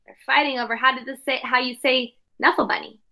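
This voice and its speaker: Bright-voiced woman reading to children. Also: high-pitched, a little fast. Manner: expressive, explains and questions as if to small children. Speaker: teacher reading aloud to young pupils. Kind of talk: children's picture-book read-aloud with asides.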